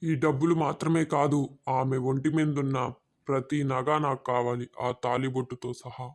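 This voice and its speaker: A man's voice: mid-range voice, level tone, normal speed, lightly acted. A man playing a ruthless, demanding forest robber in a moral-story cartoon. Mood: threatening. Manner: menacing, demanding. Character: ruthless male forest robber, gruff family man at home.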